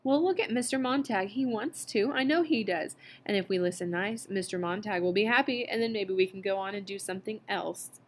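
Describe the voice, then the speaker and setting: A woman's voice: medium pitch, a little fast, few pauses, a little hiss or hum, close to an everyday voice. A woman voicing a prim, indignant middle-aged housewife who whines and scolds. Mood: whining.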